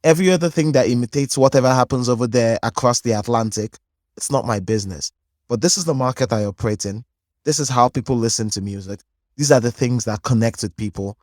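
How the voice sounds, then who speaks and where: A man's voice: medium pitch, fast, a little mellow, no echo, clean studio sound. A man, a podcast host making a careful, articulate argument. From Nigeria. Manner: articulate, argumentative, builds points in lists. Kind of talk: thoughtful interview talk.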